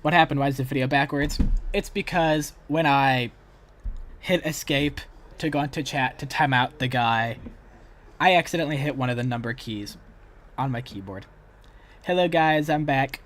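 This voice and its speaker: A man's voice: medium pitch, moderate pace, long pauses, fairly bright tone, slight background noise. A man chatting casually as the host of a reaction livestream. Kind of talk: casual reaction stream chat.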